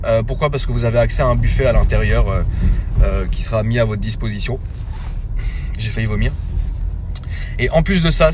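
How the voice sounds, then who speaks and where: A man chatting casually into his phone from a car, relaxed and slangy, with lots of fillers and restarts. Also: medium pitch, slight background noise. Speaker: social media creator. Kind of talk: casual phone story announcement.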